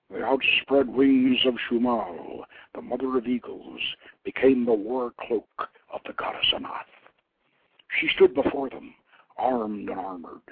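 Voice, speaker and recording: Slow, husky male storyteller on a phone line. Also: medium pitch. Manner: measured, dramatic narration. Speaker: radio host reading his novelette. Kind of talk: dramatic story reading. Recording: tinny phone-line radio recording.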